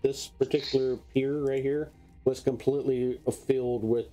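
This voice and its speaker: A man running a tabletop game as game master, narrating off the cuff in a halting, conversational way. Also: medium pitch, level tone, mellow, soft background music.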